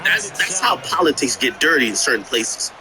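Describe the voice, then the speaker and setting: Give me a higher-voiced man from Jamaica with a profane, stammering rant outdoors, crowd in the background. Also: big pitch swings, normal speed, rough recording. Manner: blunt, profane, stammering restarts. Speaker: streamer. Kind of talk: casual political rant.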